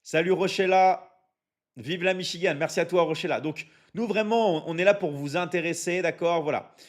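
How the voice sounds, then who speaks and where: A man from France coaching and selling on a live stream, energetic and repetitive, with lots of fillers and frequent check-ins to his viewers. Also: fairly high pitch, normal speed. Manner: energetic, repetitive, drops many fillers and asks "ok? d'accord?". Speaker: online academy coach hosting a live stream. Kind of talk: live stream sales pitch reading chat.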